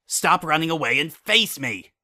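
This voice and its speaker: A man voicing a stern, angry young female cartoon pony in a storybook reading, forceful and demanding. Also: fairly high pitch, animated, normal speed, voice pushed up.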